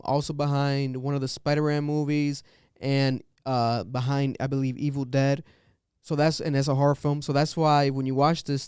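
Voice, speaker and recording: Smooth-voiced man in casual podcast chat. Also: mid-range voice, no echo, clean studio sound. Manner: animated, laughing, quick asides. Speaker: podcast co-host.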